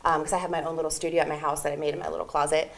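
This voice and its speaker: A woman's voice: sits low, flat delivery, very fast, background noise. A young woman chatting as an interview guest, talkative and giggly, with many fillers. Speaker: interview guest, audiobook narrator. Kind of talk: casual interview chat.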